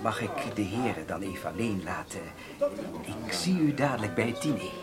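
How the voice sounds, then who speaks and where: Man acting jovial obliging host, lowish voice, formal, hesitant, radio drama, music behind. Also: lively intonation, normal speed, lightly acted. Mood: hesitant, polite. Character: jovial, hesitant, obliging hotel acquaintance making introductions.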